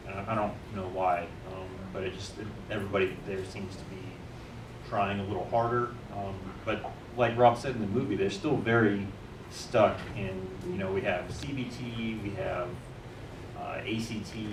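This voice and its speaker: Deep-voiced hesitant man, off-mic in a hall. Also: distant mic. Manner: hesitant, stammering, candid. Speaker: veteran on a panel. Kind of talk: panel discussion. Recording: echoey hall from off-mic with noise.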